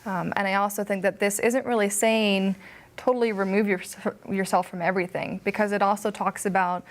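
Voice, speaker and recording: A young woman in a Bible study group, speaking in a thoughtful, measured way with frequent fillers. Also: medium pitch, flat delivery. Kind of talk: discussion group chat.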